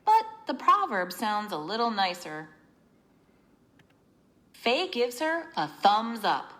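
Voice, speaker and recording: A woman reading a children's book aloud in an expressive, teacherly way, explaining as she narrates. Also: fairly high pitch, slow.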